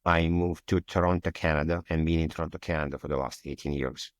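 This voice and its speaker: A man's voice: rumbling low, steady tone, quick pace, no echo, clean studio sound. A man from Kyiv with a Russian accent, a non-native English speaker, answering interview questions earnestly in slightly broken English. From Kyiv, Ukraine. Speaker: guest, cybersecurity architect.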